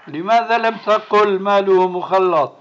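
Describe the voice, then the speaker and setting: A man's voice: high voice, moderate pace. An older man, a religious scholar answering questions in a blunt, admonishing, insistent manner. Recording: muffled, noisy old cassette tape recording.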